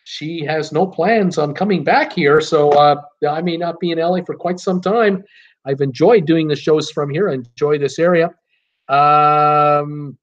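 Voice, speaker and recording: A man hosting a live cruise Q and A stream, reading viewer comments aloud and replying in a chatty, easygoing way with lots of "uh"s. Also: fairly high pitch. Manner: reads out chat names and replies in a chatty, easygoing way with many "uh"s. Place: temporary home setup in a city. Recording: dull, lo-fi live-stream audio.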